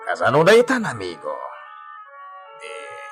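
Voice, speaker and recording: A man's voice: on the high side, wildly swooping pitch, measured pace, music in the background. A man playing a sly, theatrical conspirator in a radio drama. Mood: sly, probing. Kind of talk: Ilocano radio drama acting.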